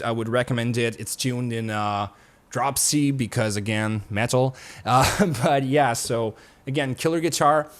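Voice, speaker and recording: Laid-back young man chatting to camera. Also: medium pitch. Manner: relaxed and rambling, swears casually. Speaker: YouTuber. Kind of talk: casual chat to camera. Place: home studio.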